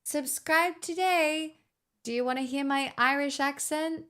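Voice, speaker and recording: High-voiced young woman streamer joking around. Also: measured pace, a bit bright. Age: 20s. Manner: joking, self-mocking banter. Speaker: live streamer. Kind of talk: casual live-stream chat.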